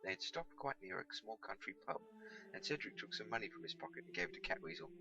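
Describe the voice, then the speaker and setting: A man reading a storybook aloud as scripted narration, in an expressive, dramatic manner. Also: mid-range voice, fast, creaky, dull audio. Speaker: amateur audiobook reader.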